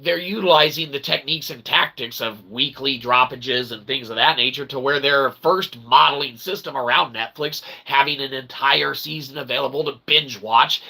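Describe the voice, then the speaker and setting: A man giving rambling, irreverent opinion commentary in wordy, repetitive, slangy phrasing, often checking in with a quick tag question. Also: fairly high pitch, vocal fry, pushed and bright, slightly rough, low-quality recording. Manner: punctuates points with "okay?" and "all right?". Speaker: host of a commentary channel.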